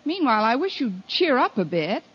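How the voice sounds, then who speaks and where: A woman playing a wry, sardonic high school teacher tossing off wisecracks in 1950s radio comedy style. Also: very animated, moderate pace, husky. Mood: dutiful, coaxing. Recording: muffled, noisy 1950 radio recording.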